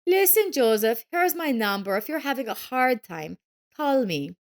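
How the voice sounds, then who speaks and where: A woman's voice: on the high side, big pitch swings, moderate pace, pitched above the performer's own voice. A woman voicing a sneering, condescending middle-aged ex-wife with a mocking, haughty manner in an audio story.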